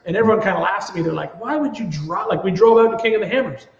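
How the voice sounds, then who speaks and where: A middle-aged man from Ontario chats loosely as a guest, tripping over and repeating words. His voice sits high for a man. The audio is roomy, with a long reverb tail. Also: animated, very fast, creaky, lo-fi sound, slight background noise. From Ontario, Canada. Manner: rambling, repeats words, easygoing. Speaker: guest, TV producer. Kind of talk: casual interview chat.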